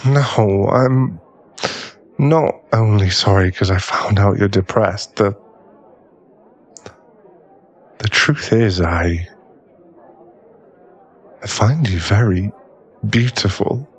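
Male actor as young werewolf barkeep, boyfriend audio drama. Lowish, unhurried, halting voice, teasing with a soft heart, faint bar crowd behind. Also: slightly rough. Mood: tender, apologetic. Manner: slow, halting, stammering delivery. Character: gruff young male werewolf bar owner, teasing but secretly tender.

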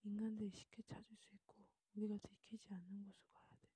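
Woman as cautious vampire schemer for ASMR role-play; dark, soft whisper, even and unhurried. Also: mid-range voice, dry recording. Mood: hushed, conspiratorial. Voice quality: breathy, hushed whisper. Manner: unhurried, repetitive, conspiratorial.

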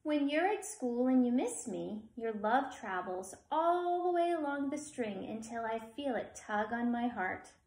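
Woman playing reassuring mother, storybook, soothing, patient, slow delivery, echoey room. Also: a little high, lively intonation, a little mellow. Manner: soothing, assured.

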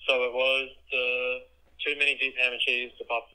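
A man's voice: mid-range voice, level tone, normal speed, sharp tone, clear, resonant tone. A man working as an order taker, speaking in casual customer-service style over a phone line. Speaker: pizza shop worker taking orders.

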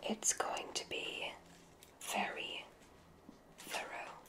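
Slow whispering ASMR woman. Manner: slow, soothing, instructive. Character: gentle witch examiner at a magic school. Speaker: ASMR roleplay creator.

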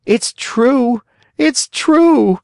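A man's voice: extremely high pitch, expressive, drawn-out words, creaky, darkish tone, no room sound, studio quality, voice pushed up, heavily acted. A man voicing a frightened young marionette boy in a children's storybook, whining and pleading emphatically. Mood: tearful, desperate.

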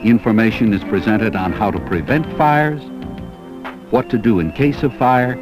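A man reading scripted educational film narration in a measured, deliberate way. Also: lowish voice, over music.

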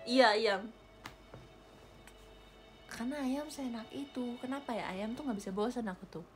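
A woman's voice: on the high side, unhurried, soft background music. A young woman chatting casually with viewers on a livestream, giggling often and thanking them for gifts. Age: young adult. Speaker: idol livestreamer. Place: small bedroom with a gaming chair. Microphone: webcam or phone at close range.

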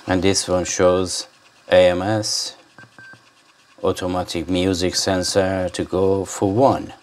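A man's voice: sits low, little pitch movement, slow pace, a little mellow, slight background noise. A man giving a casual hands-on product demonstration, rambling and muttering to himself as he goes. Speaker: gadget reviewer. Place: indoors at a desk.

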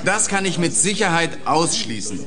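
An actor playing a businesslike police official in a German radio drama, speaking in a measured, formal, evasive manner. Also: male voice, on the high side, sing-song, over music. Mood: guarded, firm. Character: guarded, businesslike male police spokesman and senior official.

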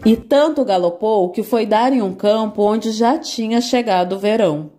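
A woman narrating a children's fairy tale in an expressive, animated way. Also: on the high side, moderate pace, faint music behind. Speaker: storyteller.

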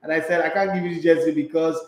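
A middle-aged Nigerian man hosting a sports radio talk show, animated and emphatic, mixing pidgin into his English. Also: a little high, fast talker. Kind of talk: live sports talk show, reading viewer comments. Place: small radio studio. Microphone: studio microphone with headphones. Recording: echoey studio room.

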